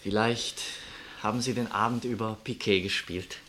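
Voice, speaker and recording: An actor in a German radio drama playing a modest, dreamy young medical student turned soldier, earnest and courteous. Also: male voice, on the low side, fairly even intonation, normal speed, fairly bright tone. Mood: melancholic, dreamy. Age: young adult.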